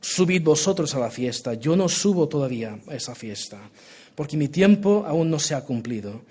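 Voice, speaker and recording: A man's voice: fairly high pitch, expressive, moderate pace, dark tone, breathy edge. A young man raised in Portugal preaching, his Spanish accented by Portuguese and American English; earnest, with many restarts. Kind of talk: sermon, Bible reading and prayer.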